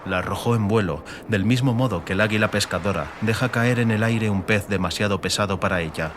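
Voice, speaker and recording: A man's voice: on the low side, brisk, background noise. A man reading a scripted, dramatic fantasy audiobook narration.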